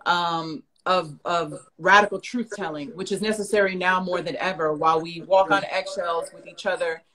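A woman in her thirties from New York City with a New York accent, hosting a video-call interview in a reflective, measured way. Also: lowish voice, steady tone, fairly bright tone, low-quality recording. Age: 30s. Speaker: host. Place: living room with plants.

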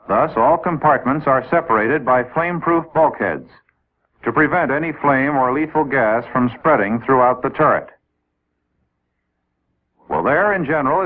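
Tinny 1950s American training film narrator, male. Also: medium pitch. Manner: clear, methodical, explanatory, addressing trainees directly. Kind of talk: scripted 1950s military instructional film narration. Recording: old 1950s film soundtrack, tinny narrow band.

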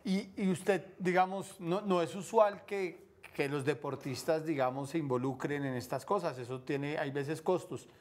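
A man in his thirties with a Colombian accent, hosting a studio interview and asking measured, probing questions. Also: a little high. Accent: Colombian Spanish. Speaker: TV host interviewer. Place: TV studio set. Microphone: lapel mic.

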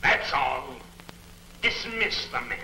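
Male villain, 1940s serial: sharp, forceful, slow menace, echoey humming old soundtrack. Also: mid-range voice, big pitch swings, gravelly, slight background noise, exaggerated voice. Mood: commanding. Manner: slow, deliberate, imperious. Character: invisible male villain mastermind, commanding and menacing. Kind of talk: 1940s film serial acting.

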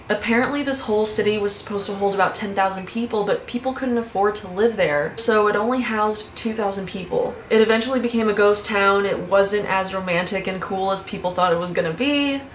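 A woman in her twenties telling stories to camera in a casual vlog, chatty and animated, with frequent swearing and laughter, recorded in her bedroom. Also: mid-range voice, roomy sound, a little hiss or hum, rough recording. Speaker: YouTuber. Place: bedroom with fairy lights.

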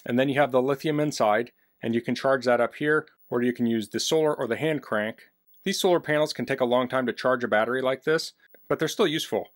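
A man's voice: medium pitch, a little fast, no echo, studio quality. Man giving a casual, practical product demo. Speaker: product reviewer. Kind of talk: casual hands-on product demonstration. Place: basement, indoors.

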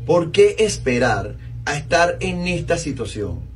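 A man's voice: on the high side, sing-song, unhurried, soft background music. A man commenting on clips in a scornful, emphatic way. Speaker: clip commentator. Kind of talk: opinion commentary.

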